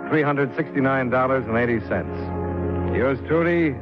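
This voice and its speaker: An actor playing a tough, level-headed insurance investigator in a 1950s radio drama, dry and matter-of-fact. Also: male voice, medium pitch, normal speed, lightly acted. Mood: brisk narration. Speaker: lead actor and narrator of a radio detective series. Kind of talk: 1950s radio drama acting, clipped first-person narration. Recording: dull 1950s radio recording, music behind.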